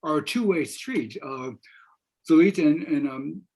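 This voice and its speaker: Older man, husky voice, moderating tentatively. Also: on the high side, expressive, a little slow, a little mellow. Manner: tentative, rambling, circling phrasing. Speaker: moderator, professor. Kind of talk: informal panel moderating. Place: small room at home, indoors. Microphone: computer mic on a video call.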